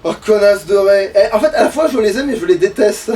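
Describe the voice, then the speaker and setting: A man's voice: sits high, lively intonation, fairly quick, a little mellow. A man chatting casually through a video game, swearing and muttering as he repeats himself. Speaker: let's player. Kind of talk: casual gameplay chat with swearing. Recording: distant mic, faint background noise.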